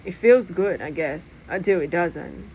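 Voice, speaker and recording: A woman's voice: lowish voice, unhurried, vocal fry, darkish tone, slightly rough, low-quality recording. A woman reading a children's novel aloud as first-person narration. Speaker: audiobook reader. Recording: faint background noise.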